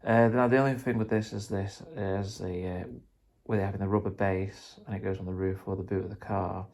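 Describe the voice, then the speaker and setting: A man talks through his hobby in a casual, unscripted way. His voice is low and very even, with a mellow tone. He rambles a little and sounds unsure of himself, with clear noise around him. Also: brisk. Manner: tentative, self-deprecating, rambling. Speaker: vlogger, hobbyist trying out radio gear. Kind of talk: casual unscripted vlog, explaining as he goes.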